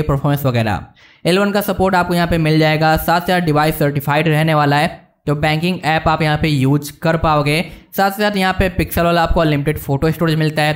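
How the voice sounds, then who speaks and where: A man with an Indian accent narrating a casual tech walkthrough as a video host, informal and chatty with English words mixed in. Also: a little high. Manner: informal, addresses viewers as brother, mixes in English words.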